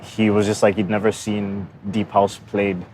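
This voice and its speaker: A man's voice: fairly low pitch, little pitch movement, normal speed, darkish tone, a little hiss or hum, low-quality recording. A man from Zambia chatting casually and informally as a podcast co-host.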